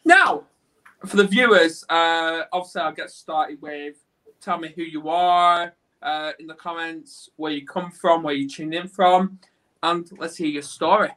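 A man in his thirties hosts a video call interview, his voice a little croaky from a cold. He talks in a loose, chatty way and stumbles over his words now and then. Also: on the high side, unhurried, bright, forceful tone, rough recording. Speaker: host. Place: room with a green screen.

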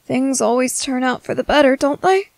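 A woman playing a gentle, worried young merboy in an ASMR roleplay, speaking softly and hesitantly to comfort someone. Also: high-pitched, normal speed, creaky, dry recording, clean studio sound. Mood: tender, soothing. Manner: soft, hesitant, soothing.

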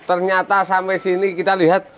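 A young man with a Javanese accent, chatting casually through an outdoor farm tour, relaxed and jokey, recorded on a lapel mic. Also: sits high, a little fast, clean tone. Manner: relaxed, chatty, mixing in local words, jokey asides. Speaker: goat farm vlogger. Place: outdoor village yard with goats. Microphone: small lapel mic.

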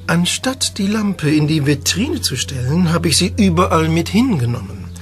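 A male actor playing an eccentric film star in a German audio drama, dramatic and savoring his words with pauses. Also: on the high side, sing-song. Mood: storytelling, enraptured. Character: theatrical, eccentric film actor pining for his escaped genie.

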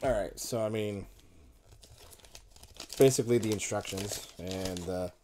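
A man in his thirties at home, casually reading out card names as he opens packs, with sudden bursts of excitement. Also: fairly low pitch, measured pace, slight background noise. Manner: lists card names in a running murmur, brief excited outbursts. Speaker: card-opening video host. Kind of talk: casual unboxing commentary. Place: home room with a desk. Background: crinkling card wrappers.

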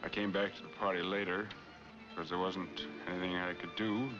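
A man's voice: sits low, level tone, normal speed, close to an everyday voice. An actor in his fifties playing a brooding, self-pitying married man in a 1940s film noir. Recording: dull 1940s film soundtrack, music behind.

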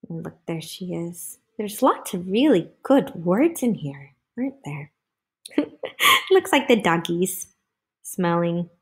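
A woman's voice: fairly low pitch, sing-song, slow, a little mellow, breathy edge. A woman reading a picture book aloud to children, expressively, with chatty asides and questions to her young listeners.

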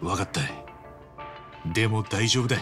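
A man voicing a loyal, big-hearted middle-aged ex-yakuza hero with blunt, rough street speech in a video game. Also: lowish voice, normal speed, music in the background, close to an everyday voice. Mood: defiant, protective. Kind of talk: Japanese video game voice acting.

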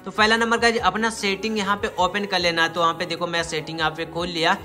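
A man's voice: high-pitched, little pitch movement, fast, bright, forceful tone. A young man narrating a step-by-step tutorial, addressing viewers directly and repeating himself. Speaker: tech tutorial YouTuber.

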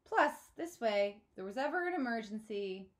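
A woman voicing a gentle, protective father in a storybook read-aloud, patient and pleading. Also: a little high, lively intonation, moderate pace, natural voice. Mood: gentle, firm. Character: gentle, earnest, protective father of a young girl.

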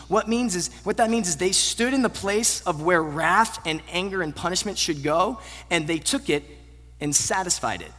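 A man in his thirties preaching a sermon on a church stage, earnest, rising to emphatic peaks and then dropping low. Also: bright, forceful tone. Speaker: pastor. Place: large church auditorium stage. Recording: long hall reverb.

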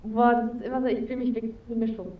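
A woman's voice: on the high side, steady tone, racing, reverberant, far from the mic, narrow, tinny audio. A young woman chatting as an interview guest over a video call with a headset, talking casually with lots of fillers. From Spain. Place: home room with neon sign, indoors.

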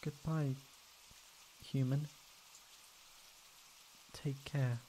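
A man's voice: mid-range voice, little pitch movement, extremely slow, dark tone, slight background noise, low-quality recording. A man voicing a proud but insecure, emotional tsundere catboy in an ASMR roleplay, with hesitant, stammering speech. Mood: hesitant, wistful.

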